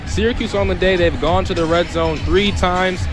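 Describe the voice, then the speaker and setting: A man's voice: sits high, steady tone, normal speed, music in the background. An American man giving live sports analysis as a color commentator on a radio broadcast. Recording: stadium broadcast, clear crowd noise behind. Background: crowd.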